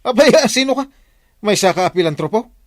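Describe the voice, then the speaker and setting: A man's voice: high-pitched, lively intonation, fairly quick, dry recording, studio quality. A man with an Ilocano accent playing a brash, lazy village husband in an exaggerated manner for a radio comedy drama. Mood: mocking.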